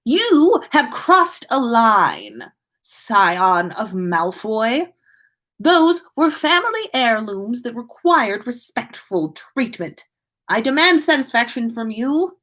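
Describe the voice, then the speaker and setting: A woman voicing a prim, pompous teenage aristocratic boy in a story reading, with formal, haughty, measured delivery. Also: fairly high pitch, very animated, a bit bright, voice pushed up, full character voice. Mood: indignant.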